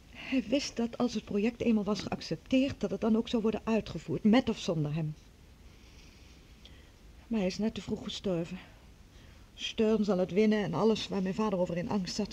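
An actress playing a composed, grieving professor's daughter in an old radio drama, with a restrained, formal manner. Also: female voice, medium pitch, moderate pace, pauses often, warm tone, breathy edge, natural voice. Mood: subdued grief. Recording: old radio recording with faint noise.

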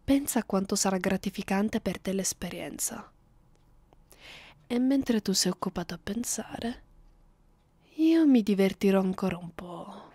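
A woman's voice: medium pitch, animated, unhurried, pauses often, mellow, breathy edge, no echo. A woman playing a cruel, seductive vampire mistress in an ASMR roleplay, speaking commandingly and often close to a whisper. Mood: coaxing, domineering. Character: domineering, cruel, seductive vampire mistress addressing her human captive.

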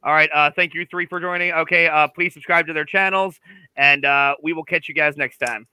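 A man's voice: a little high, steady tone, brisk, sharp tone, smooth, no room sound. A man hosting a casual video-call panel chat, joking and laughing often, on a headset mic. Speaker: host.

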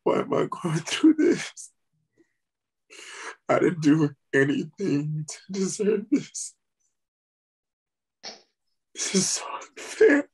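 A man acts out a gravely ill man, anguished and bitter. His voice is husky, with a weak, pleading delivery suited to intimate stage drama. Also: on the high side, big pitch swings, slow pace, long pauses, darkish tone, heavily acted, rough recording. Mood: anguished, resentful. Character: dying man, sick, bitter, anguished and self-pitying. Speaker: actor at a table read. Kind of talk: dramatic script reading.

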